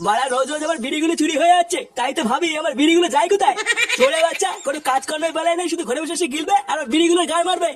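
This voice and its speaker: A man voicing a foul-mouthed, scolding father in a comedy cartoon, with a West Bengal Bengali accent and an artificially pitched-up voice. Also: very fast. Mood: angry scolding. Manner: coarse, scolding, sweary. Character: foul-mouthed angry father scolding his idle son. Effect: pitched-up, sped-up voice. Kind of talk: comic cartoon voice acting.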